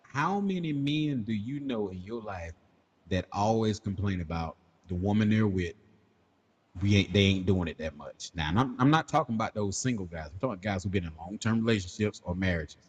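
Deep raspy guy, about 40, laid back podcast talk. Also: lively intonation, fairly quick. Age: 40s. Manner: laid-back, laughs often, drawling Southern slang. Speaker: podcast co-host. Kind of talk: casual chat.

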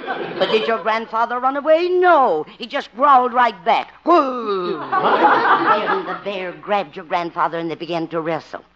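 A woman's voice: mid-range voice, sing-song, measured pace. An actress playing an older, folksy mother, proud and encouraging, in a 1950s radio comedy. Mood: proud, dramatic. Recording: muffled, faintly noisy old radio.

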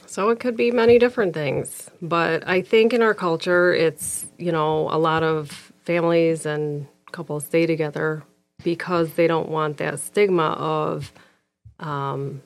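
A woman's voice: deep voice, fairly even intonation, measured pace. A woman in her thirties, from Egypt, a guest in a casual interview, speaking frankly and firmly with frequent ums.

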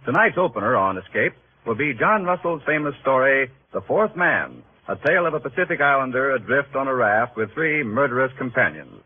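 A man's voice: medium pitch, sing-song, fairly quick, hardly any pauses, husky. A man working as a network radio announcer, reading scripted promos in a vintage 1940s style. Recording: tinny old radio broadcast, faint noise.

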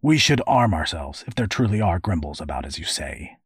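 Man as storybook father: low husky voice, sceptical, understated, quietly sardonic. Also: sing-song, brisk, no room sound, heavily acted. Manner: muttered, understated, dry.

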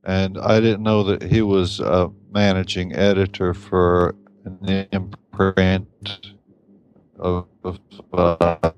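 A man, a novelist, telling his story in a casual interview in a wry, reflective and halting way, on a slightly dull lo-fi recording. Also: low-pitched.

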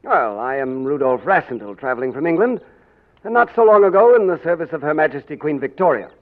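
Tinny 1940s radio dashing gentleman played by a man. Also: fairly high pitch, expressive, brisk, close to an everyday voice. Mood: suave, courteous. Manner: theatrical, courtly, crisply spoken. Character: dashing gentleman adventurer and his excitable royal double. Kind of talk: 1940s radio drama acting. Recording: tinny, narrow old radio broadcast.

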